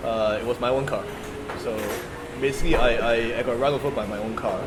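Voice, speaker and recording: A man in his thirties with a Singaporean English accent, a pro wrestler chatting as a guest, telling animated stories with repeated words and frequent laughs. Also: mid-range voice, fast talker, over a noisy crowd, rough recording. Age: 30s. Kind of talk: casual interview chat.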